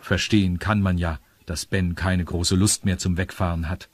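A man's voice: low-pitched, little pitch movement, moderate pace, very noisy. A man with a standard German accent narrating a scripted children's audio story.

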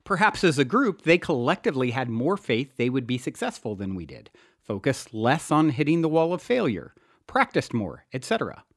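Man, audiobook narration, earnest, deliberate phrasing, lively intonation, dry recording. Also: mid-range voice, no room sound. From US East Coast. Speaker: author narrating his own book.

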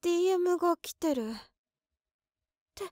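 A woman's voice: very high voice, animated, moderate pace, a little mellow, dry recording, studio quality. A woman playing an overworked, emotional office worker in her early twenties, talking to herself in an audio drama monologue. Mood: astonished.

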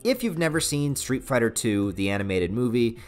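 A man's voice: mid-range voice, animated, brisk. A man in his thirties with an American accent narrating a casual, opinionated review in an informal, enthusiastic, slangy way. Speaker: video reviewer. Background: background music.

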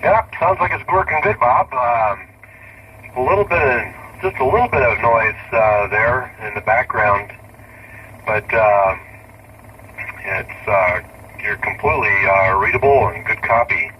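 A man's voice: on the low side, unhurried. A man chatting casually as an amateur radio operator, heard over a radio link through a car speaker. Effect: radio link played through car speaker. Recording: distant sharp radio audio, faint car noise.

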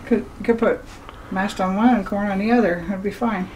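A woman off camera in a home kitchen, offering quick, soft suggestions. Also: medium pitch, steady tone, slight background noise. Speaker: helper off camera. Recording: off-mic, from a distance.

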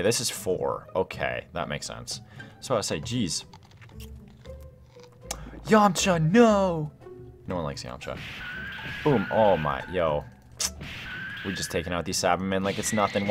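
A young adult man hosts a gaming video with a lively, widely swinging voice that sits a little low. He talks casually and excitably in slang, with exclamations, over background music. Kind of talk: casual gameplay commentary.

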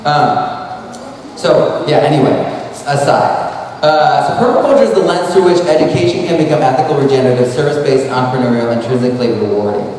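A man's voice: medium pitch, a little fast, darkish tone. A man in his thirties giving a casual, rambling conference talk on a handheld mic, full of "like" and restarts. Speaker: speaker. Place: large hall at an expo. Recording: echoey hall recorded from far off.

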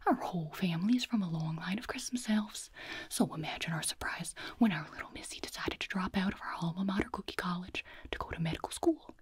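A woman whispering as a doting, chatty elf mother who fusses sweetly over a guest, in a soft ASMR roleplay close to the mic. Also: fairly low pitch, a little fast, husky. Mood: gushing. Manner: soft whisper, fussing and gushing.

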